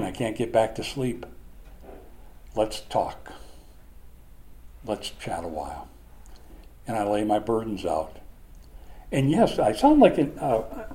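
A man preaching a conversational Bible lesson, animated at times and hushed at others. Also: mid-range voice, a little hiss or hum. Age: older. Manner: animated, swings from loud to hushed.

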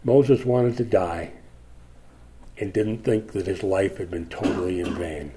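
A man's voice: sits low, a little slow, mellow, slight background noise. A man in his sixties preaching a sermon, reflective, rising with emphasis when he reads scripture. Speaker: pastor.

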